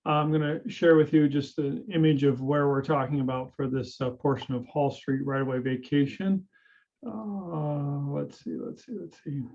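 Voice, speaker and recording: A man, a public works director, presenting a report at a city council meeting in a measured way, pausing often with "uh" and "um". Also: fairly high pitch, fairly even intonation, darkish tone, highs cut off. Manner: measured, hesitant with frequent fillers.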